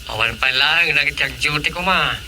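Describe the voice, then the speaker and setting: An actor with a Filipino accent playing a dutiful, easygoing village officer in a radio comedy drama. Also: male voice, a little high, moderate pace, sharp tone, slight background noise. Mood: agreeable.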